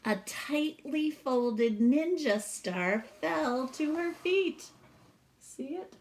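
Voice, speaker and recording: Slow-paced woman reading a storybook aloud. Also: high voice. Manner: animated, pausing to ask listeners questions. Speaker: teacher reading a picture book aloud. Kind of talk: expressive storybook read-aloud with asides to children.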